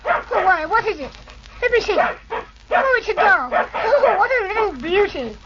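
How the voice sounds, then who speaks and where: A woman's voice: very high voice, normal speed. An eager young boy. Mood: delighted. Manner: excited, chattering. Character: eager young boy Johnny, brave brother. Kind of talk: 1940s radio acting. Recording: dull noisy old radio recording.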